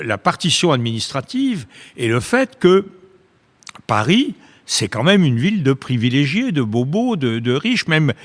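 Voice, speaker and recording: A man's voice: fairly high pitch, fairly bright tone, long reverb tail. A man speaking as a guest in an intellectual panel discussion, repeating words and searching for them as he argues.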